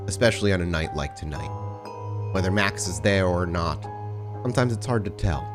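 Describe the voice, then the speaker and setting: A man's voice: low voice, expressive, fairly quick, music in the background. A man who hosts and narrates a tabletop role-play podcast as its storyteller. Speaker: storyteller and host. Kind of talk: tabletop game narration and casual podcast chat.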